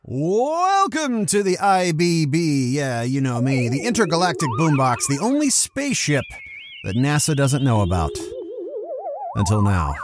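A man's voice: on the high side, sing-song, a little slow, faint music behind. A jokey, theatrical man hosting a scripted comedy podcast like a voice actor, close on the mic.

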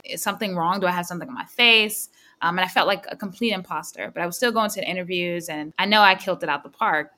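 A panelist with a feminine voice, telling career stories candidly and matter-of-factly. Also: female voice, fairly low pitch, sharp tone. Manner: candid, storytelling, matter-of-fact. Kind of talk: panel discussion.

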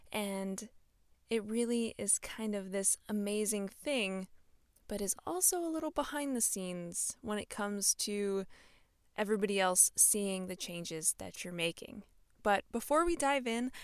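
A woman's voice: mid-range voice, no echo. A young woman hosting a solo podcast in a bubbly, chatty way, with lots of fillers and small laughs, on a close mic. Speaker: podcast host. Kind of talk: casual solo podcast talk.

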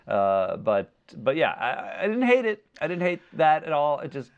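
A man co-hosting a casual movie podcast in a measured, hedging manner, recorded with slightly muffled sound. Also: a little high, big pitch swings, gravelly. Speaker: podcast co-host.

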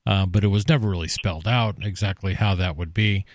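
A man's voice: low-pitched, brisk, slight background noise. A man hosting a talk radio show, steadily recapping topics and taking calls. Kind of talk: casual talk radio chat. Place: radio studio. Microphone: studio mic, close.

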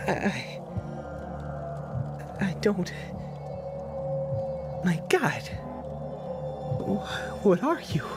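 Audio horror drama: male actor as frantic cornered tough guy, high, swooping, stammering delivery. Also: huge pitch swings, drawn-out words, long pauses, loud music behind the voice, full character voice. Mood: stunned dread. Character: panicked, belligerent man cornered by an eerie creature.

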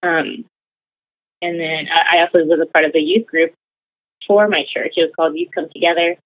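A young woman with a deep, close, dry voice tells her story in a loose, chatty way. Her talk is full of fillers. The sound is narrow and tinny, like a phone line. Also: steady tone, a little fast, dry recording. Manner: chatty, many fillers like "like" and "you know". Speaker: interviewee. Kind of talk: casual conversational storytelling.

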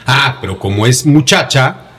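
A man's voice: mid-range voice, animated, unhurried, a bit bright. A man with a Mexican Spanish accent, born in Denver and raised in Mexico, co-hosting a casual podcast chat and mocking phrases in put-on voices. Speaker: podcast co-host.

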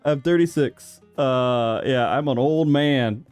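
A man's voice: mid-range voice, slow, a little mellow, clear, resonant tone, no room sound, faint music behind. A man in his thirties hosting a podcast, chatting casually, rambling and laughing often. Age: 30s. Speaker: podcast host.

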